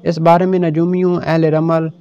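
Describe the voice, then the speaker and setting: A man reading a scripted religious narration in a formal, measured way with solemn pauses. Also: fairly high pitch, flat delivery, warm tone, clear, resonant tone. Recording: dull lo-fi voice-over with music behind. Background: background music.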